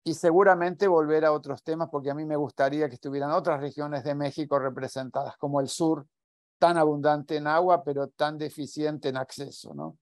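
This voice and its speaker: An older man on a video call, speaking in a calm, measured way and letting each phrase fall away softly. His voice sits a little high for a man. The headset sound is close and dry. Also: no echo. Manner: measured, reflective, trails off softly. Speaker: academic network coordinator. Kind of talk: closing remarks on a video call. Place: small indoor room at home or office.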